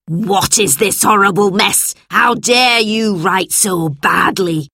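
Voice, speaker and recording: A woman plays a big, cross schoolmistress from a children's story. She scolds and barks orders imperiously. Her delivery is slow and deliberate, with some creak in the voice. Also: medium pitch, a bit bright, no echo, studio quality. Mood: angry, scolding. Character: large, stern, bad-tempered schoolmistress who bullies children.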